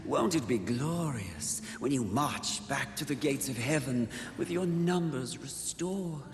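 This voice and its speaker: An actor playing a seductive, scheming demon villain in a video game cutscene, coaxing and taunting theatrically. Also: male voice, medium pitch, expressive, a little slow, close to an everyday voice. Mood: coaxing, flattering. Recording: echoey hall reverb, loud music behind.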